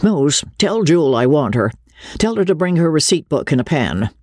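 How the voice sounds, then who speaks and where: A man's voice: fairly high pitch, big pitch swings, normal speed, darkish tone, no room sound, clean studio sound. A man reading a novel aloud voices a stout, world-hardened middle-aged woman who speaks in a brusque, matter-of-fact way.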